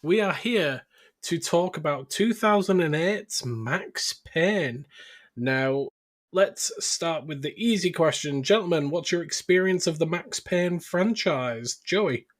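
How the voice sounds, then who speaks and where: A man's voice: a little high, expressive, takes it slowly. A man hosting a podcast, presenting and chatting in a casual style. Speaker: podcast host.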